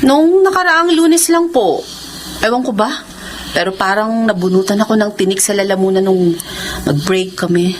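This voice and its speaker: A woman's voice: medium pitch, moderate pace, lightly acted. A Filipino woman acting in a radio drama as a confident, playful young online seller. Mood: nonchalant. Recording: faint background noise.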